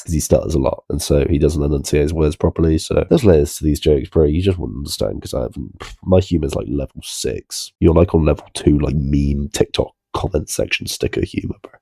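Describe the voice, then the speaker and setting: A man's voice: extremely low pitch, brisk, creaky, hardly any pauses, dark tone, breathy edge, dry recording, studio quality. A young man in bed, mumbling drowsy, self-mocking reaction commentary. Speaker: streamer reacting to a video. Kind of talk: crude casual reaction commentary. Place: bedroom, in bed.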